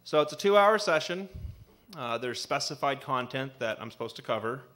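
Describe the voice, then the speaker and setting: A man's voice: medium pitch, lively intonation, moderate pace. A man in his thirties, an assistant city attorney, giving a conversational ethics training in a city meeting room at a desk microphone, with many fillers. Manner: says he has a loud voice. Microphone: desk microphone on a stand.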